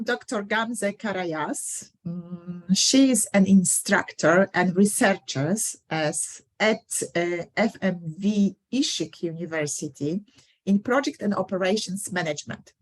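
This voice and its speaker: A woman hosting an online talk, introducing the guest over a video call in a halting way with restarts. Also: medium pitch. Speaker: host, moderator.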